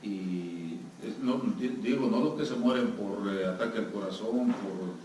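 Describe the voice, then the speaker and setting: A man's voice: fairly low pitch, a little slow, darkish tone. Salvadoran man preaching in an echoey hall. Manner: joking, engages the audience with questions. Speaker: guest pastor. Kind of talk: sermon to a live congregation, interactive. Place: church hall with congregation. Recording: echoey hall, distant mic, noisy.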